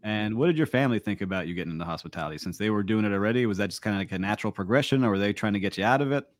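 A man's voice: on the low side, very fast, no echo, clean studio sound. A man hosting an interview podcast, reading a polished intro and then asking friendly questions. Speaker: podcast host. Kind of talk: scripted intro and ad read, interviewer.

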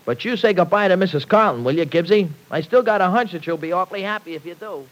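An actor playing a brash, skeptical young working man in an old-time radio serial, slangy, folksy and excitable. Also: sits high, fast, poor audio. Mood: resigned, concerned. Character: brash, folksy young dairy worker, skeptical, protective boyfriend. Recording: vintage radio drama recording.

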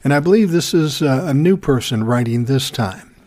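A man in his sixties with a cold and a scratchy, hoarse throat, hosting a podcast in a calm, measured, plainspoken way, sitting close to the mic. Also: medium pitch. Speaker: podcast host. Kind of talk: casual solo podcast talk. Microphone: close to the microphone.

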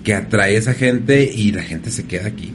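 A man from hosting a casual interview, relaxed and slangy with frequent restarts. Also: lowish voice, normal speed, a little hiss or hum. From Ciudad Juárez, Mexico. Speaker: podcast host.

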